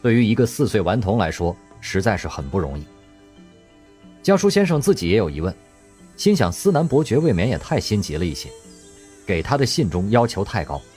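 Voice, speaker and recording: A man narrating a radio drama in scripted storytelling style, expressive and lively in delivery. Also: lowish voice, brisk, faint music behind.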